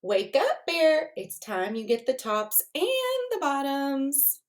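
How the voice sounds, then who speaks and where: Woman voicing a sly high-pitched cheerful storybook hare. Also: animated, takes it slowly. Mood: calling out. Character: sly, cheerful male hare trickster farmer. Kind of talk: children's storybook character voice.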